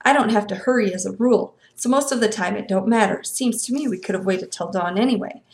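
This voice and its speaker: A woman's voice: mid-range voice, quick pace, darkish tone, roomy sound, natural voice. A woman voicing a fat, sleepy male constable who wheezes and grumbles in a folksy rural drawl for a storybook reading. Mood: sour. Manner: grumbling, long-winded, suspicious.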